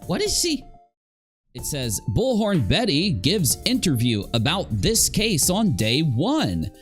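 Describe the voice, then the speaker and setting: A man's voice: a little high, huge pitch swings, slow pace, soft background music. A man hosting a commentary show, reacting in a casual, critical way with a sarcastic, mocking and emphatic delivery. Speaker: YouTube commentary show host.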